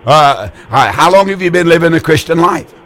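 An older man in his eighties preaches to callers on the air. His voice sits a little high for a man, and he talks in a folksy, repetitive way, stumbling over words and restarting often. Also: animated, fairly quick, a bit bright. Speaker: radio preacher taking calls. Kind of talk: call-in religious broadcast.

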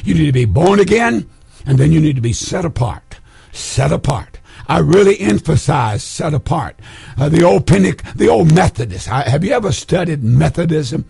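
A hoarse man preaching an emphatic Pentecostal sermon, repeating key phrases for stress. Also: a little high, a little mellow, dry recording.

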